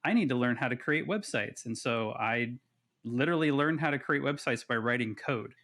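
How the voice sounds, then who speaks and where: A man's voice: mid-range voice, expressive, moderate pace, fairly bright tone. A man in his forties, a podcast guest telling his story in a chatty, self-deprecating way. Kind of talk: casual podcast interview.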